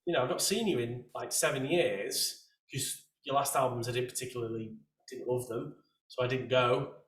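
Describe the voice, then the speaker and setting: A man hosting a casual chat, with a soft voice and a rambling, restart-heavy way of talking. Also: medium pitch, fairly even intonation, normal speed, roomy sound, distant mic, low-quality recording. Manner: chatty, rambling, many restarts. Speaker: host.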